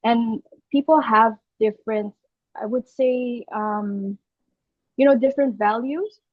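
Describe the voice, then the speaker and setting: A woman's voice: fairly high pitch, takes it slowly, dry recording. A young woman from Aruba with a Filipino accent, a podcast guest explaining her views earnestly, step by step, with small restarts. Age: young adult. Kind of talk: conversational interview. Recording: dull dry lo-fi call audio.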